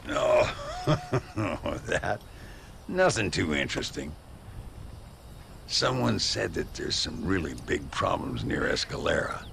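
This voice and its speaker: A boastful old gunslinger from a Western game, his voice deeply creaky and his American drawl theatrical. He swoops between grand flourishes and halting, rambling asides, with clear ambient noise behind him. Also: very animated, fairly bright tone, full character voice. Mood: amused, genial. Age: older. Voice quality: gravelly, drawling. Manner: theatrical, halting, self-mythologizing. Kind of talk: video game cutscene acting.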